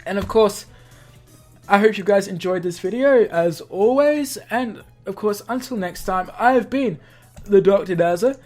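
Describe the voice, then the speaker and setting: A man's voice: sits high, measured pace, a little mellow. Male gaming host over music. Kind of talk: reading a visual novel aloud with casual asides.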